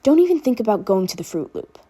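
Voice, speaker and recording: A woman's voice: on the high side, very animated, fast, dark tone, breathy edge, voice pushed up. A woman voicing a protective, teasing older brother in a casual, firm way for a fan fiction reading. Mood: stern.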